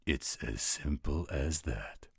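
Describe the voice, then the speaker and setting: A man voicing a gruff, blunt, protective werewolf guardian with a husky voice in an audio roleplay drama. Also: no room sound, studio quality, full character voice. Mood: defiant, blunt. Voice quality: very low, husky rasp. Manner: slow, blunt, coarse-spoken.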